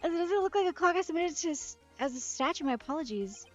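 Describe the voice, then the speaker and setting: A smug prosecutor brushes off the defence in a dismissive tone, voiced by a woman. The voice is high and slightly muffled, with game music playing beneath. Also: animated, fast, no room sound.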